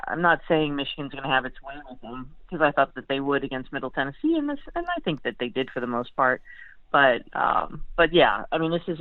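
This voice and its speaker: A woman's voice: low-pitched, no echo. A tinny, narrow phone connection carries a woman's voice, close and dry. She is a sports writer guesting on a talk show, chatting casually and working out her opinions aloud, often qualifying them as she goes. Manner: chatty, hedging, thinking aloud. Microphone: phone call. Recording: tinny, band-limited phone line.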